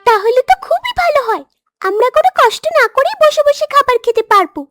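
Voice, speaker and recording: Tiny sulky cartoon duckling, super squeaky and whiny, swoops all over, greedy little thing. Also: female voice, sing-song, fairly quick, a bit bright, faint music behind, heavily acted. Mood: hungry, pleading. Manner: whiny, complaining. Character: greedy, sulky, self-pitying young duckling.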